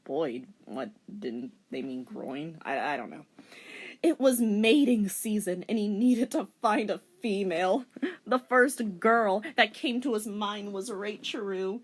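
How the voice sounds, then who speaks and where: A woman's voice: mid-range voice, lively intonation, measured pace. A woman giving a comedic dramatic reading with casual commentary, in a sardonic, giggly manner. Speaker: commentator and reader.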